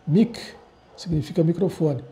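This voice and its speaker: A man's voice: a little high, unhurried, mellow. A man hosting a casual how-to tutorial, rambling with constant filler tags, filmed indoors at a table. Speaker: channel host. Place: indoors at a table, filming objects and a laptop screen.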